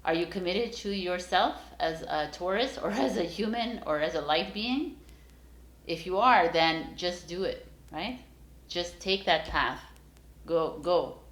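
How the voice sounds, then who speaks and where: A woman's voice: fairly low pitch, unhurried, a bit bright, reverberant. A woman giving a casual, encouraging tarot reading straight to her viewers, rambling with many fillers and restarts. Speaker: tarot reader.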